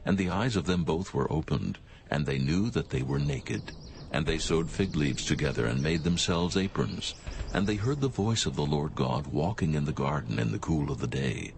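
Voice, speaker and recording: A man narrating scripture in a formal, measured and solemn scripted style. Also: very deep voice, expressive, gravelly, background noise.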